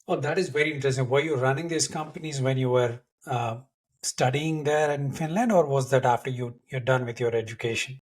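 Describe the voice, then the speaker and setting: A man hosts a conversational interview and asks questions with small restarts. His voice sounds husky, in a middle register. He asks with plain curiosity. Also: medium pitch, fairly quick. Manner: hesitant, repeats words while asking. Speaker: podcast host, interviewer.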